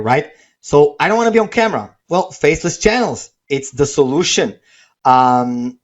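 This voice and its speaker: A man who is not a native English speaker, hosting a live sales webinar with a persuasive, off-the-cuff pitch and frequent checking tags. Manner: persuasive, repeats checking tags like okay and right. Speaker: webinar host pitching software. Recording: dull, lo-fi webinar audio.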